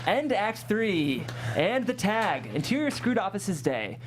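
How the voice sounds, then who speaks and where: A woman's voice: mid-range voice, big pitch swings, takes it slowly, faint music behind. A woman hosting a script read, narrating stage directions and chatting with guests. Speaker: host and stage-direction reader.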